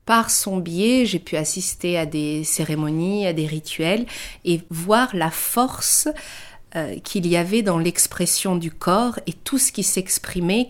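A woman in her early thirties giving a reflective personal account, pausing often as she searches for her words. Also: on the low side. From France. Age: early 30s. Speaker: dancer and choreographic artist. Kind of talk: reflective personal interview narration.

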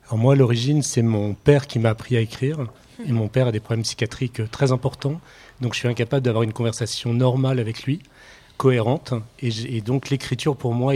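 A man's voice: mid-range voice, warm tone. A man, a lawyer and writer, explaining his own work as a guest in a panel discussion.

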